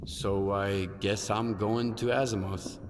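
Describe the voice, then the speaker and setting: An actor playing a wary, laconic stalker in a video game cutscene, terse and understated. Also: male voice, on the low side, little pitch movement, takes it slowly. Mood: dry, wary. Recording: reverberant, faint noise behind.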